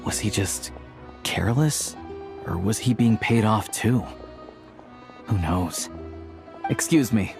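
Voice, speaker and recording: A guarded, quietly kind villager in a video game. His voice sits fairly low and stays understated and matter-of-fact, over soft background music. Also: animated, slow pace, vocal fry, pauses often, heavily acted. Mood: suspicious, dry. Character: guarded, plain-spoken man from abandoned village, quietly kind. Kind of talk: video game cutscene acting.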